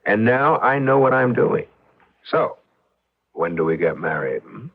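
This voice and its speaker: A man's voice: fairly low pitch, sing-song, a little slow, full character voice. An actor in an old radio drama playing an ambitious, impatient, slick husband turned rich businessman. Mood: eager, cocky. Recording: dull lo-fi radio drama recording.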